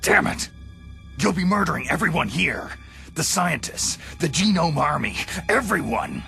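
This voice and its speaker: An actor playing a gruff, hardened soldier hero in a video game, terse, intense and defiant. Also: male voice, a little high, sing-song, measured pace, sharp tone, soft background music, exaggerated voice. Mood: angry. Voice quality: gravelly, gruff. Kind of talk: dramatic video game cutscene acting.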